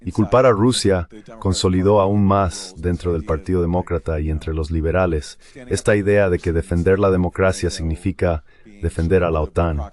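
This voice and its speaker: Very deep-voiced man dubbing political commentary. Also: fairly even intonation, normal speed, a little mellow. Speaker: voice-over translator of a panelist. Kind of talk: dubbed political panel commentary.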